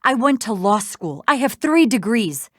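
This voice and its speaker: A woman's voice: on the high side, sing-song, measured pace, no echo, studio quality. An actress playing a bitter, sarcastic female lawyer villain in a video game, ranting with emphatic contempt. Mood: exasperated contempt.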